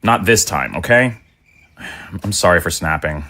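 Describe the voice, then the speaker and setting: A man's voice: sits low, expressive, a little slow, bright, forceful tone, exaggerated voice. A man playing a weary, worried middle-aged father in an audio drama. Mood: distraught.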